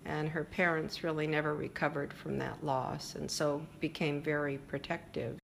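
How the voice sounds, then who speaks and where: An older woman being interviewed about her family history, speaking reflectively and pausing often with fillers. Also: sits low, flat delivery, creaky, slight background noise. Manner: reflective, hesitant with frequent fillers.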